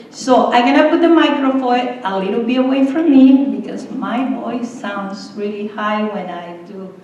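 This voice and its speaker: A woman, speaking slowly as president of a school board meeting. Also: a little high, a little mellow. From El Salvador. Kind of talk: public meeting remarks. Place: meeting room. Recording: echoey room, distant mic.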